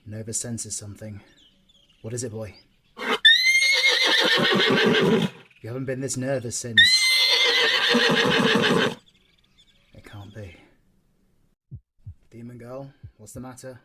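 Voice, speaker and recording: Man playing a British demon hunter, quick and earnest. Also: mid-range voice, very noisy, natural voice. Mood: alarmed. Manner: animated, natural, familiar. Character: earnest, protective male demon hunter on a journey. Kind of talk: fantasy audio roleplay.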